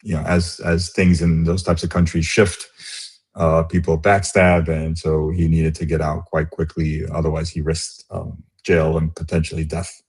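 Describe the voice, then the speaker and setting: A middle-aged man, born in Romania and raised in the US, speaking as an interview guest in a reflective, halting way with many restarts. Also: extremely low pitch, completely flat delivery, a little mellow, husky. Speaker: guest, business executive. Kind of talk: casual interview conversation.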